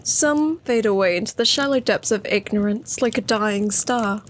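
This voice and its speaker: A woman's voice: medium pitch, moderate pace, slight background noise, natural voice. An actress playing a brooding, secretive woman, delivering an ominous, theatrical monologue in an audio drama. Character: brooding, secretive female narrator hunting a collector's secrets.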